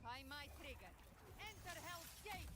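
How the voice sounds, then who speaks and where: High, menacing gunslinger huntress. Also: female voice, lively intonation, a little slow, full character voice. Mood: cool menace. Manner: terse, commanding. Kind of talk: video game battle callouts. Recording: game audio in loud battle noise. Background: loud game combat noise.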